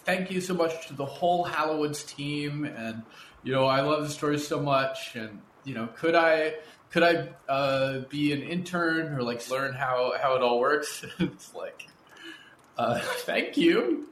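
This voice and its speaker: A man's voice: a little high, animated, measured pace, a bit bright. A man hosting a casual podcast interview in a conversational, informal way. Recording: echoey room, faint background noise.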